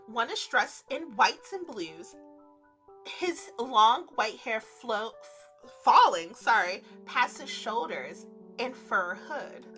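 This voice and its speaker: A woman reading a visual novel aloud as a gaming creator, expressive and giggly, with gushing casual asides. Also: high voice. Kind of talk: playful let's play read-aloud with casual reactions.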